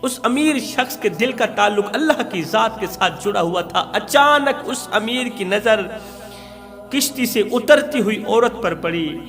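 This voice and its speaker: High-pitched Pakistani preacher in a reverberant hall. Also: male voice, lively intonation, measured pace, a bit bright. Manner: dramatic, declamatory, with repeated phrases for emphasis. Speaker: preacher and religious storyteller. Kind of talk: emotional Islamic sermon telling a moral tale. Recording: long hall reverb with music.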